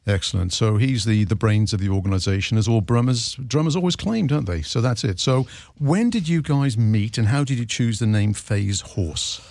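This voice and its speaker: An older man with a deep voice, hosting a casual radio interview and chatting enthusiastically about records. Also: animated, normal speed, few pauses, a little mellow, no echo. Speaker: radio host.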